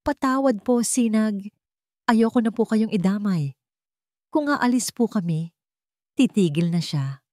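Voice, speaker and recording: Woman plays timid Filipino mother in a story drama: apologetic, distressed, self-sacrificing, raised pitch. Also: animated, a little slow, long pauses, warm tone, no echo, clean studio sound, lightly acted. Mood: tearful apology. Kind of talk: dramatic audio story dialogue.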